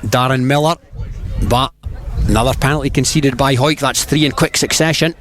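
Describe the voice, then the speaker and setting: A man with a Scottish accent giving live radio play-by-play rugby commentary in a measured, descriptive and colloquial way. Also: mid-range voice, fairly even intonation. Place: outdoors at a rugby ground. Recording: outdoor live broadcast, loud crowd and noise. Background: spectator crowd, outdoor ambient noise.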